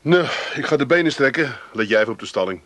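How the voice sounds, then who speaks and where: An actor playing a matter-of-fact, wry grown son in a comedy radio play. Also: male voice, medium pitch, expressive, quick pace, a bit bright, dry recording, natural voice. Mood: wry, relaxed. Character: down-to-earth, wry grown son in an Amsterdam family.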